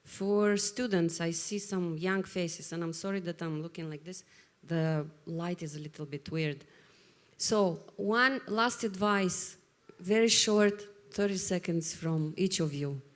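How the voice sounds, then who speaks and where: A woman's voice: on the low side, a little slow. A woman moderating a live startup panel, speaking in an upbeat, conversational way. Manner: upbeat, conversational, wraps up the session.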